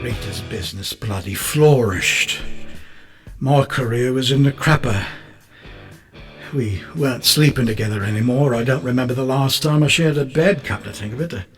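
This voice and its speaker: Actor as bitter British confessor over music. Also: male voice, mid-range voice, moderate pace, natural voice. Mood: wry, bleak. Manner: rambling, coarse, darkly matter-of-fact. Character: bitter, unravelling British photographer recounting his crime. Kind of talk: dramatic monologue in an audio drama. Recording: music under the voice.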